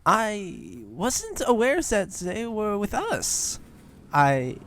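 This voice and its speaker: A man's voice: high-pitched, wildly swooping pitch, drawn-out words, a bit bright, pitched above the performer's own voice, heavily acted. A man voicing a flamboyant snake performer, easily flustered, stammering and effusively apologetic. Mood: baffled.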